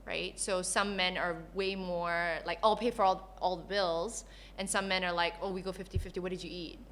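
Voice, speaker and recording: A woman from Malaysia who grew up in Canada, talking casually as a podcast guest, laughing often and telling stories in an animated way. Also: fairly low pitch, normal speed. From Malaysia, raised in Canada. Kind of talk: casual interview chat.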